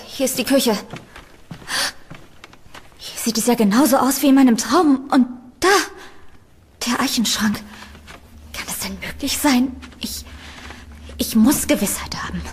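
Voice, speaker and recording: A woman's voice: high-pitched, lively intonation, a little slow, long pauses, slight background noise. A woman playing an anxious young woman in a horror audio drama. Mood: alarmed. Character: anxious young woman trapped at an eerie villa.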